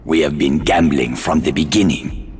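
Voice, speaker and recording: An actor playing a grim, unyielding resistance leader in a video game, commanding and solemn. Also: male voice, a bass voice, moderate pace, darkish tone. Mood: grave, resolute. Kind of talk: dramatic video game acting.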